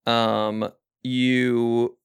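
A man's voice: mid-range voice, flat delivery, drawn-out words, creaky, clean tone. Rambling man hosting a casual chat. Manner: loose, rambling, self-deprecating jokes. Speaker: podcast host.

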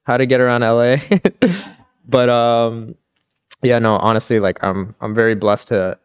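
A young man chatting as a podcast guest, relaxed and upbeat, laughing often and filling his talk with "dude" and "like". His voice is clear and resonant. The sound is dry and close. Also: medium pitch, a little slow, no echo. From Pakistan. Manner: laid-back, says "dude" and "like" a lot, laughs often. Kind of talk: casual chat.

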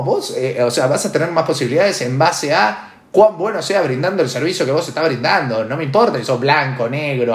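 A man with an Argentine Spanish accent giving opinions in casual talk, emphatic and repeating phrases for stress. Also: fairly high pitch. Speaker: podcast host. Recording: echoey room.

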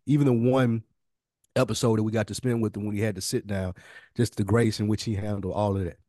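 A man's voice: lowish voice, fairly even intonation, quick pace, mellow, dry recording, clean studio sound. A man co-hosting a podcast in casual conversation, laid-back and slangy in how he talks. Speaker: podcast co-host.

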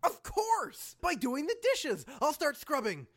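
A goofy, eager sidekick performed by a man from a comedic fantasy audio drama. The delivery is lively and swoops around in pitch, with a literal-minded, wry streak. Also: takes it slowly, full character voice. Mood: cheerful.

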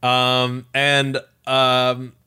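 A man's voice: mid-range voice, completely flat delivery, drawn-out words, pushed and bright, smooth. A Canadian man co-hosting a podcast in casual chat, talking in a hesitant, rambling way full of filler phrases. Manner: hesitant, rambling, frequent "like" and "you know". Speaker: podcast co-host. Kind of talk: casual tech news chat.

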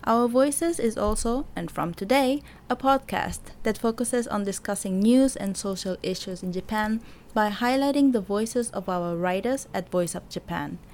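A woman raised in Barcelona, co-hosting a podcast in an earnest, measured way. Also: mid-range voice, darkish tone. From Barcelona, Spain. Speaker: podcast co-host. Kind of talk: reading a podcast introduction.

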